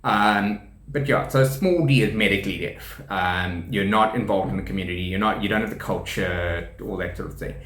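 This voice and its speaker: A man in his thirties with a South African accent talks loosely and warmly about being deaf, chuckling often and circling back over his words. His voice sits a little low. The room around him is bare and echoey. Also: moderate pace. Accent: South African English. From South Africa, KwaZulu-Natal. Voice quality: speech shaped by profound hearing loss. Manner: rambling, many ums and restarts, laughs often. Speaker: deaf teacher and rugby player presenting a channel. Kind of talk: casual explanatory talk to camera.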